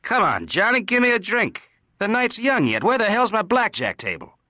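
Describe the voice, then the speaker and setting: A man's voice: high-pitched, huge pitch swings, normal speed, sharp tone, dry recording, studio quality, voice pushed up, full character voice. A man voicing a boozy, cocky male singer with a swaggering, playful manner.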